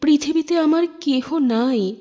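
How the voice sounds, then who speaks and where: A woman's voice: high-pitched, slow, warm tone, pitched above the performer's own voice. A woman voicing a humble old male servant from a storybook, pleading reverently and tearfully.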